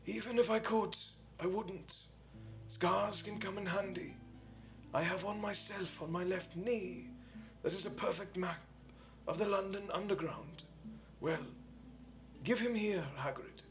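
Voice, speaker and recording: Brief: a man playing a wise old headmaster for a storybook reading, high and gravelly, gentle and wry, dull lo-fi sound. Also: measured pace, long pauses, soft background music. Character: old wise male headmaster, calm and dry. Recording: dull lo-fi recording.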